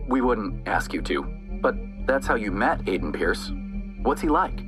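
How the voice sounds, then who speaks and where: An actor playing an eager, probing TV news interviewer with polished, smooth prompting in a video game. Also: male voice, on the low side, big pitch swings, a little slow, over music. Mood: probing, polite.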